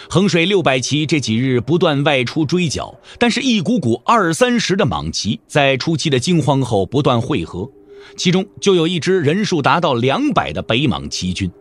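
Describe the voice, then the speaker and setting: A man giving dramatic scripted narration as the storyteller of a martial-arts audio drama. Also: fairly high pitch.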